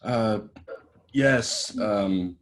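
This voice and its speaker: A cocky, hungover young actor, read by a man with a low voice. He drawls slowly and swears. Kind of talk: table-read acting.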